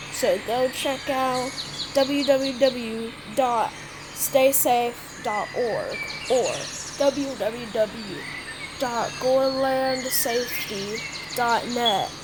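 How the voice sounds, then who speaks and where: A woman improvising a mock emergency broadcast announcement in a halting way, often stumbling and repeating words. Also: fairly high pitch. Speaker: announcer of a fictional emergency alert. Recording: noisy homemade recording, birdsong behind.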